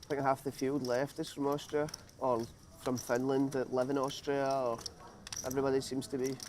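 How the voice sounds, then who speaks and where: A man speaks in a flat, even tone while chatting casually across a poker table, his voice caught from some way off the mic. A faint hiss runs underneath. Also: mid-range voice, moderate pace. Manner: relaxed, rambling small talk.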